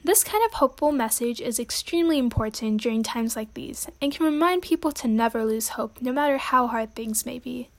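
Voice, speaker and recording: A woman's voice: on the high side, a little fast, few pauses. A young woman hosting a student poetry podcast, reading her commentary in an earnest, read-aloud way. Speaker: podcast host.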